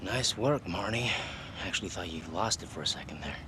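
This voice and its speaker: Deep-voiced, earnest police partner. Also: male voice, animated, fairly quick, noisy background. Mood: uneasy. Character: conscientious male police detective partner, uneasy, earnest. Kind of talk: dramatic video game acting.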